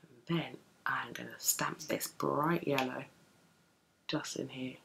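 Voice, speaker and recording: A young woman with a deep early-morning voice, chatting through a makeup tutorial at home while she works on her eyes. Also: steady tone, slow pace, creaky, fairly bright tone, slight background noise. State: just woken, deep morning voice. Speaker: beauty vlogger. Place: home room with bookshelves, indoors.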